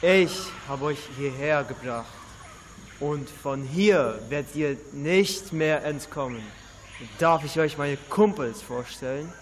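A man acting a menacing pirate captain in an amateur radio play, slow and commanding, in a reverberant recording. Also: fairly high pitch, slight background noise. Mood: menacing, boastful. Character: bossy male pirate captain ruling his island, menacing.

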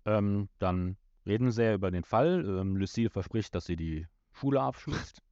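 Male podcast host, low voice, halting conversational delivery, slightly muffled recording. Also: warm tone, no room sound. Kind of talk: casual discussion of an audio drama episode.

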